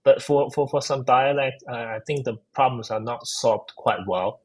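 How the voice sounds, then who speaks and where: A man's voice: mid-range voice, fairly even intonation, moderate pace. A man with a Chinese accent answering interview questions, hesitant with many fillers and restarts. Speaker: guest, AI researcher. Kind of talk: casual technical interview.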